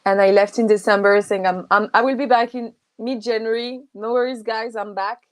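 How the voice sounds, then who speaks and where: A woman's voice: fairly high pitch, steady tone, moderate pace, no echo. A woman from North Africa whose first language is Kabyle, guesting on a podcast, talking with fillers and frequent laughs. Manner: warm, laughs often, hesitant with fillers. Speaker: guest, co-living space manager. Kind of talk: casual interview chat.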